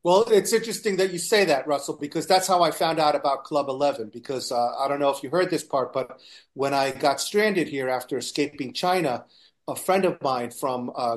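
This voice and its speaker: A man's voice: on the high side, expressive, moderate pace. A man from the United States, a guest telling a long, rambling story in a casual talk and retelling conversations along the way.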